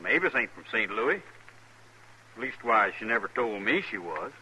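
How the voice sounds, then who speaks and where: An actor playing a stubborn, forthright young cowboy husband in an old radio western, with a rural American accent. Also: male voice, lowish voice, sing-song, a little slow, pushed and bright, breathy edge, exaggerated voice, low-quality recording. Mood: puzzled. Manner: plain, forthright. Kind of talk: mid-century American radio western acting.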